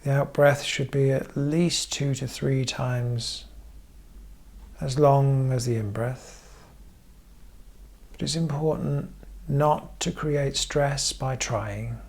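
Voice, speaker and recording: A man guiding a meditation as a therapist, giving gentle instruction in short, measured phrases with frequent pauses. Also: mid-range voice, steady tone, gravelly. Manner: measured, pausing often between short phrases. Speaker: therapist leading guided meditation.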